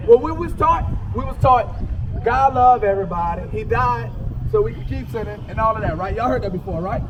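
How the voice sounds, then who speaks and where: Male street preacher, very high voice, emphatic colloquial call-and-response delivery, recorded outdoors over traffic noise. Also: lively intonation, brisk, muffled recording. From United States. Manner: emphatic, colloquial, checks in with listeners. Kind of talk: street sermon with call-and-response to a reader and bystanders. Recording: distant mic, clear outdoor traffic noise.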